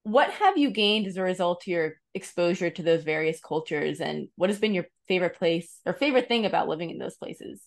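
A woman's voice: on the low side, lively intonation, a little fast, hardly any pauses. A woman hosting an interview podcast, asking friendly, well-structured questions. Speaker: podcast host.

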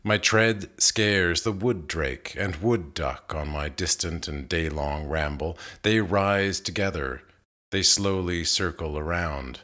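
A man reading poetry aloud in a measured, deliberate manner, pausing between phrases. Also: low voice, fairly bright tone. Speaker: poetry reader. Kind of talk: literary poetry reading, scripted recitation.

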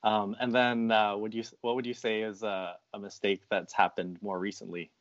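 A man asking casual interview questions in a hesitant, halting way. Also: fairly low pitch, level tone, dry recording. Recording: dry but dull, lo-fi audio.

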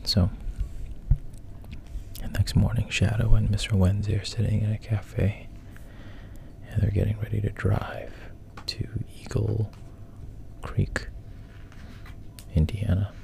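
A man casually retelling a story in a hushed, halting way, often dropping to a whisper, with a gravelly, breathy voice. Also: lowish voice, level tone, a little hiss or hum.

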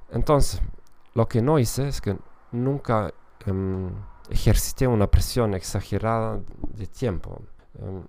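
Gravelly-voiced man. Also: lowish voice, dark tone. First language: German. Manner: hesitant, many fillers and self-corrections, tag questions. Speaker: podcast host and language teacher. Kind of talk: casual solo podcast talk.

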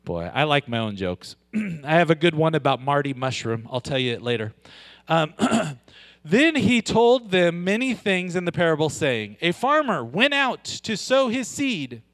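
A man with a loud, high-pitched voice preaches a sermon at a deliberate, unhurried pace. He mixes joking asides with slow, stressed lines of scripture. The sound is dry and close, with no room echo. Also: sing-song. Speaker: pastor.

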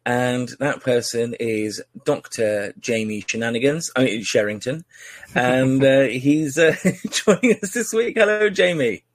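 A man's voice: mid-range voice, animated, a little slow, dry recording. Chatty man hosting a podcast. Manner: chatty, teasing, many fillers and restarts. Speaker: podcast host. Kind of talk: casual podcast interview.

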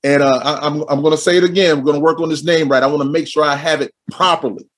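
This is a man hosting an interview show, full of energy and fond of repeating his lines twice for effect. His voice sits a touch high for a man and has a husky edge. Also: steady tone, quick pace. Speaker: podcast host. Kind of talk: hyped-up show introduction and casual interview.

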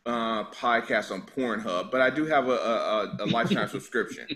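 A man's voice: medium pitch, normal speed, a bit bright, slight background noise, poor audio. A man hosting a podcast panel, chatting casually, teasing and asking each guest questions. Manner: laughing, teasing, asks questions. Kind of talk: casual group chat.

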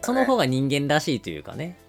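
A man's voice: fairly high pitch, wildly swooping pitch, fast, faint music behind. A man hosting a podcast, explaining and narrating in a polite, casual way. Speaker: podcast host.

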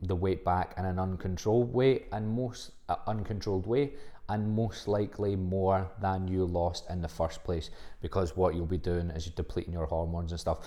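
A man hosting a solo podcast, talking casually and bluntly, rambling with fillers and the odd swear word. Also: low voice. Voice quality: soft-spoken. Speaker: podcast host and fitness coach.

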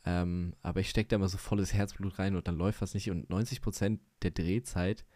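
A man's voice: low voice, steady tone, brisk, mellow, no echo. A young man co-hosting a podcast in casual chat, rambling and laughing a lot. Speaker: podcast co-host.

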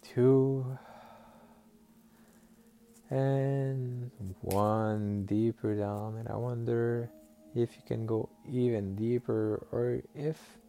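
A man guiding a listener into hypnotic trance in a slow, soothing, suggestive way, over faint music. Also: lowish voice, vocal fry, dark tone. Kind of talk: guided hypnosis session.

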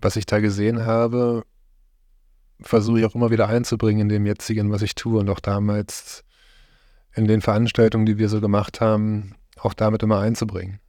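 A middle-aged man from Germany with a low, flat, mellow voice. He talks in relaxed conversation, hesitates often and searches for his words. The recording is close and dry. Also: fairly quick, dry recording. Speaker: interviewee, farm host.

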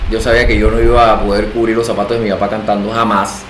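A man's voice: lowish voice, little pitch movement, fast talker. Middle-aged Panamanian man, echoey room. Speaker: interview guest, banker. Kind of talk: casual interview conversation, storytelling. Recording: echoey room, faint background noise.

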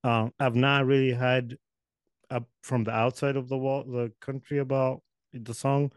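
A man's voice: mid-range voice, level tone, a little slow, dry recording. A man hosting a podcast interview over a video call, rambling and correcting himself with light chuckles. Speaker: podcast host. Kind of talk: casual interview chat.

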